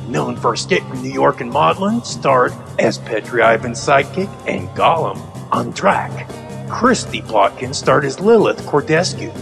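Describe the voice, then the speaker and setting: A man reads scripted credits as an announcer. His voice is mid-pitched, with plenty of gravelly fry and lively inflection. Music plays behind him.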